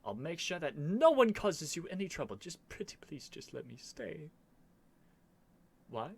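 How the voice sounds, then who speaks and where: A man's voice: fairly high pitch, sing-song, measured pace, gravelly, slight background noise, heavily acted. A man playing a stubborn, flustered young prince with haughty, theatrical phrasing in an ASMR roleplay. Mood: desperate pleading, then shy, flustered.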